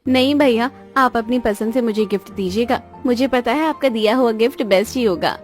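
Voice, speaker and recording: A woman voicing a gentle, loyal young sister from a poor family in a cartoon, speaking earnestly and plainly. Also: a little high, a little fast, faint music behind, natural voice. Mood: sweet, hopeful. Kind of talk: cartoon moral-story dialogue in Hindi.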